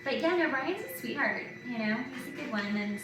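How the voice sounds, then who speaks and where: A woman's voice: sits high, lively intonation, fairly quick. A woman hosting a podcast, chatting casually in an informal, conversational way. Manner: informal, filler-heavy, conversational. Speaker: podcast host and author. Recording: very echoey, distant mic, clearly noisy.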